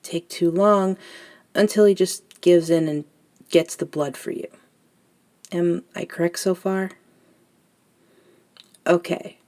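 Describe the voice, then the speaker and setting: Slow, hushed elder vampire woman, acted. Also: lowish voice, steady tone. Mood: calm, probing. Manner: soft-spoken, breathy near-whispers, measured pauses. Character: centuries-old female vampire elder, gentle and reflective mentor. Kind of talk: intimate ASMR roleplay monologue.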